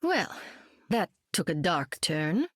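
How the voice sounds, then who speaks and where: A dry, sarcastic sorceress. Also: female voice, on the low side, sing-song, slow pace, fairly bright tone, no echo, exaggerated voice. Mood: wry. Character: wry, powerful sorceress. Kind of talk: video game voice acting.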